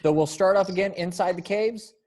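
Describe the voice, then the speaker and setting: Higher-voiced man leading an informal group call. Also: fairly quick, a little mellow. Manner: informal, encouraging, checks in with the group. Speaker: director of a readers theater group. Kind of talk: casual video-call rehearsal planning. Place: remote video call.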